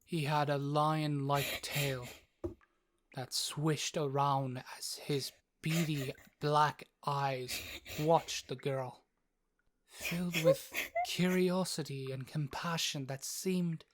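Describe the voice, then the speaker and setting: Fantasy narrator, androgynous mid-range voice, slow storybook delivery, faint noise behind. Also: mid-range voice.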